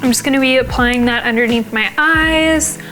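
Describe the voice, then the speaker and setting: A young woman, slightly raspy as she gets over a cold, explaining a makeup look step by step in a casual, chatty way. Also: high voice, moderate pace, music in the background. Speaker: beauty vlogger.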